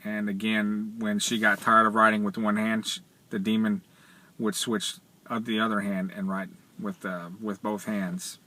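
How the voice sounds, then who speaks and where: Middle-aged man, flat and halting. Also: lowish voice. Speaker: vlogger. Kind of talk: casual spoken commentary, retelling a story. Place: inside a parked car. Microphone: camera or phone at arm's length.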